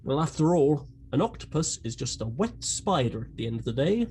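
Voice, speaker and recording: A man playing a dry, befuddled gentleman detective in a comic radio drama, hesitant and theatrical. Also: on the high side, sing-song, a little fast, a little hiss or hum. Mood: dry, muddled.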